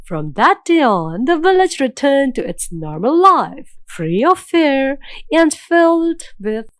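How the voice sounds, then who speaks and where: A woman narrating a scripted children's fairy tale in a measured, dramatic storytelling manner. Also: high-pitched, big pitch swings, dry recording, clean studio sound.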